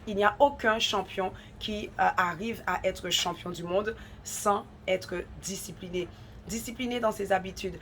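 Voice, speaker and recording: A woman's voice: mid-range voice, unhurried, a bit bright. Woman host with a cold, earnest and exhortative, mid-range voice, faint background hum. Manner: earnest, exhortative, repeats for emphasis. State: has a head cold, runny nose. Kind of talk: devotional encouragement talk.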